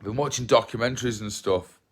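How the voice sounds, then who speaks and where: Man, 30s, from England, soft relaxed voice, rambling livestream chat, repeats himself, phone mic at home. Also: medium pitch, a little fast, breathy edge. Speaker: livestream host. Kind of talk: casual livestream chat reading comments. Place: small living room at home, indoors. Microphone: phone held at arm's length.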